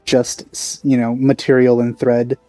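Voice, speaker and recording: A man doing casual let's play commentary in rambling asides. His voice is dark and mellow, recorded dry and close, with faint game music underneath. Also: mid-range voice, monotone, measured pace, dry recording.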